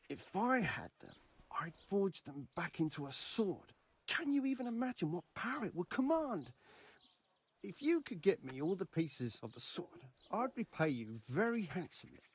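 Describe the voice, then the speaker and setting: A man's voice: on the high side, slightly rough, full character voice. An actor playing an eager, devout, grasping medieval craftsman in a video game, talking emphatically and persuasively. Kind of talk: video game dialogue acting.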